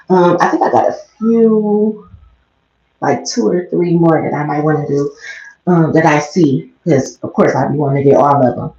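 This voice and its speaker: American woman, deep slightly raspy voice, quiet casual vlog chat, muffled echoey bathroom sound. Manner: low-key, talking quietly. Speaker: vlogger livestreamer. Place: small bathroom indoors. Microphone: headset mic close to mouth. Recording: muffled, echoey small room.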